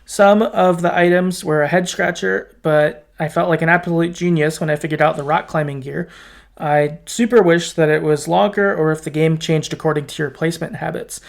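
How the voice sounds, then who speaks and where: A man guest on a podcast, speaking in a halting, soft way with many ums. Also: a little high, fairly even intonation, creaky. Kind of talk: casual podcast chat.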